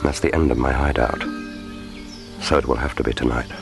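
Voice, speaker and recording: A cool, wry secret agent thinks aloud in a radio play. His voice is extremely deep and creaky, with a deadpan delivery over clearly audible film music. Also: brisk. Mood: wry, tense. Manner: deadpan, wry, inner monologue. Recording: film score music behind voice.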